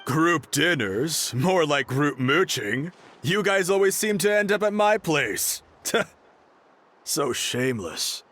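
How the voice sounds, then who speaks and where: Bright, spirited game chieftain. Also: male voice, a little high, expressive, slow pace, exaggerated voice. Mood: teasing. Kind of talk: video game character acting.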